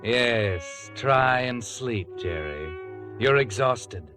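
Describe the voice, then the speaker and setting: An actor playing a sly, taunting narrator who speaks like a guilty conscience in a 1940s radio thriller. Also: male voice, mid-range voice, expressive, very slow. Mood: ominous. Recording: vintage radio broadcast. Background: dramatic music.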